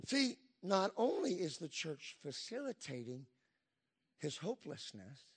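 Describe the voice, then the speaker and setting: A man's voice: fairly high pitch, big pitch swings, a little slow, husky. A man in his fifties preaching a Pentecostal sermon, emphatic, with frequent call-and-response asides to the congregation. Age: 50s.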